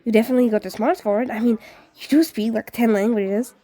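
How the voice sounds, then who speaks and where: A woman voicing an excitable, encouraging teenage ghost boy in an audiobook-style story, gushing eagerly and tripping over words. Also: on the high side, quick pace.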